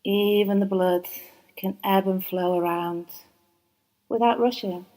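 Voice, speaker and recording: A woman teaching yoga nidra, guiding a relaxation meditation in a soft, soothing voice, slow and unhurried with long pauses. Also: medium pitch, steady tone, rough recording. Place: yoga training course.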